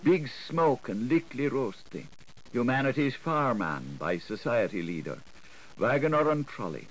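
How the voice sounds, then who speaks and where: A man's voice: medium pitch, big pitch swings, normal speed, low-quality recording. A man giving a theatrical audiobook reading of literary prose, in a declamatory, rhythmic way. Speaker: audiobook narrator.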